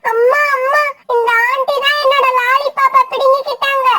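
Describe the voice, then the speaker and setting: A woman's voice: extremely high pitch, level tone, moderate pace, sharp tone. A woman voicing a small, plaintive child sparrow in a children's cartoon story. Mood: upset, complaining.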